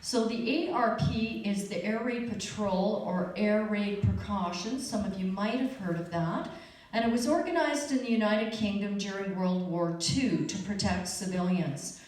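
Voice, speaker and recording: A woman's voice: medium pitch, unhurried, a little mellow. A woman introducing a guest speaker to a church congregation, reading an explanatory introduction steadily. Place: church hall. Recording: echoey church hall from far off.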